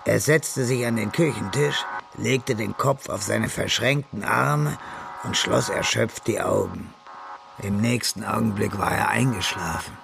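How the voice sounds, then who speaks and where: Gravelly male narrator over music. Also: on the low side, measured pace. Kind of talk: scripted radio drama narration.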